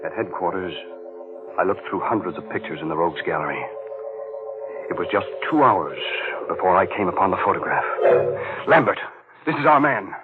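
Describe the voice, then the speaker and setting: A man's voice: low voice, expressive. An actor playing an earnest, determined man telling his own murder mystery in 1940s radio style. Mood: somber narration, then urgent. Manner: earnest, intense, deliberate. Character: determined wounded man hunting his friend's killer, narrating. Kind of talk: 1940s radio acting and dramatic first-person narration. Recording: muffled old radio recording with music behind.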